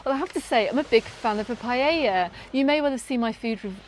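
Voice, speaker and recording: A woman's voice: fairly high pitch, fast talker, slight background noise, low-quality recording. A British woman hosting a travel vlog, answering viewer questions in an upbeat, chatty way from a sunny beach, with frequent ums and restarts. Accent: British English. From Britain. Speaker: travel vlogger. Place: outdoors on a sunny beach, in a lifeguard tower.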